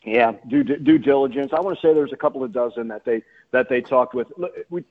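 A man's voice: mid-range voice, fairly quick, narrow, tinny audio. A male sports announcer speaking as a guest on a radio talk show, emphatic and often repeating and rephrasing himself.

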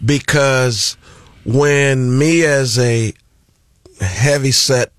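A man with a deep voice, a guest on a radio talk show, speaking plainly and deliberately. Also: no room sound. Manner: slow, deliberate, plain-spoken. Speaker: guest, community activist. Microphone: studio microphone.

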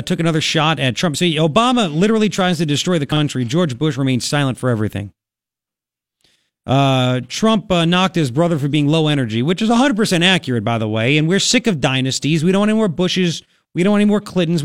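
A man's voice: on the high side, no echo, studio quality. A man with a Long Island, New York accent hosting opinionated political talk radio, emphatic and colloquial, repeating phrases for effect.

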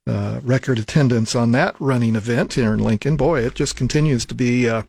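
A man's voice: mid-range voice, fairly quick, gravelly, a little mellow, husky, no echo. A man hosting a radio talk show in a studio, easygoing, with many fillers and restarts. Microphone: studio microphone.

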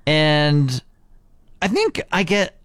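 A man's voice: fairly high pitch, wildly swooping pitch, drawn-out words, fairly bright tone, smooth, no echo, clean studio sound. A man in his thirties co-hosting a morning radio show, chatting casually and playfully, laughing often. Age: 30s. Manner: playful, laughs often, loose filler-heavy talk. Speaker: radio co-host.

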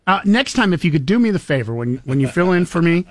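A man hosting morning talk radio with a somewhat high voice. He rambles casually with fillers and laughs easily. The studio mic is close and dead dry. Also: very animated, fast, no echo. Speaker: radio talk show host. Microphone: studio microphone.

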